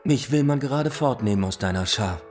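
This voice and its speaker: A man's voice: mid-range voice, animated, brisk, darkish tone, soft background music, natural voice. An actor playing a polite, diffident young man in a radio play, hesitant and courteous. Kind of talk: German radio play acting.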